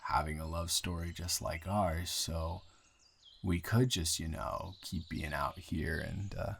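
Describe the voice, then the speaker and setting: A man playing a nervous, smitten new guy in town in an improvised romantic audio roleplay, halting and playfully teasing. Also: deep voice, steady tone, creaky, a little hiss or hum. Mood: flirty, hesitant.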